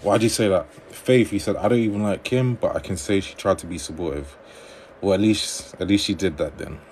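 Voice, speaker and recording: A man hosting a livestream on dating advice, reading viewer comments aloud and answering them in a relaxed conversational way. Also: sits low, brisk, a little hiss or hum.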